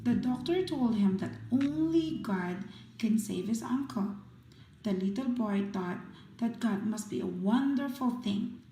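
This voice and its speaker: Slow, echoey woman reading bedtime stories. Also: fairly high pitch, expressive, mellow. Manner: slow, deliberate read-aloud delivery. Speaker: storyteller reading children's books aloud. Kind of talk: bedtime story narration. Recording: roomy echo, music behind.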